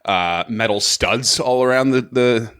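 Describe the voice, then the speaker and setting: A man's voice: mid-range voice, unhurried, a bit bright, clean tone. A man co-hosting a podcast, chatting in an easygoing way and mostly reacting to his partner. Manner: easygoing, reacting with short replies and laughs. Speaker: podcast co-host. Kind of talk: casual podcast chat.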